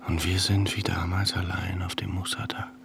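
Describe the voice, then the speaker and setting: Deep, gravelly grieving man for a radio play, slow and whispery. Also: little pitch movement, noisy background. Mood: brooding. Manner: hushed, brooding inner voice with whispered lines. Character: grieving middle-aged man, haunted and solitary. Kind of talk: radio drama acting.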